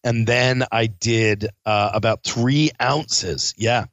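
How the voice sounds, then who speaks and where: A man's voice: mid-range voice, takes it slowly, fairly bright tone, dry recording, lo-fi sound. A man who works as a chef, talking casually with lots of fillers and restarts, a bit stuffed up from a cold. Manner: rambling, frequent fillers and restarts. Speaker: chef and podcast host. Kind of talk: casual spoken recap.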